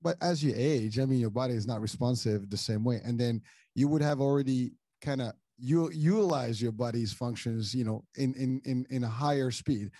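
A man's voice: mid-range voice, normal speed, warm tone, no room sound, studio quality. A middle-aged man from Morocco hosting a casual interview, talking in long rambling sentences full of restarts and repeated words. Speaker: podcast host.

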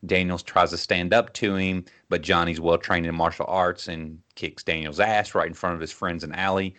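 Male podcast host, low voice, measured and orderly when discussing movies. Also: level tone, a bit bright. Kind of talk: film discussion with prepared plot recap.

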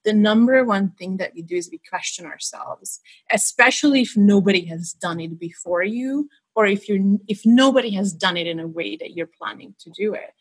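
Husky woman from Hungary, storytelling. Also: mid-range voice, moderate pace, hardly any pauses, rough recording. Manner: storytelling, laughs easily, frequent restarts. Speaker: podcast guest. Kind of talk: casual interview chat.

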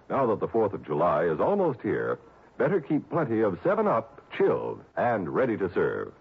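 A narrow, muffled vintage radio recording features a male announcer selling in 1960s style. His voice is very husky, and his emphatic delivery swings widely up and down. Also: on the low side. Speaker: commercial announcer. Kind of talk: 1960s radio advertisement read.

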